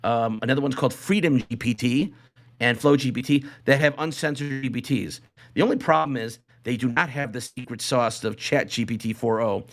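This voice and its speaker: A man's voice: medium pitch, brisk. A rambling, jokey male podcast host. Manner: rambling, jokey, reads out list items with commentary. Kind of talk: casual comedic podcast chat.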